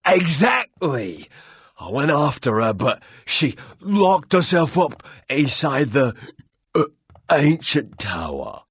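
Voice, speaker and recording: Game role: husky tipsy townsman, self-pitying, slow rambling delivery with swooping pitch. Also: very animated, a bit bright, no echo, full character voice. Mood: woeful. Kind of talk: video game character acting.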